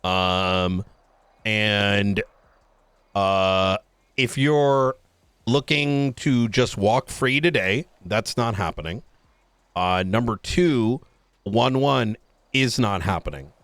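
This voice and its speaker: A man's voice: on the low side, slow, pauses often, a bit bright, smooth, no echo. A man playing a blunt, foul-mouthed police chief in an improvised roleplay argument, talking casually and argumentatively. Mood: firm, insistent.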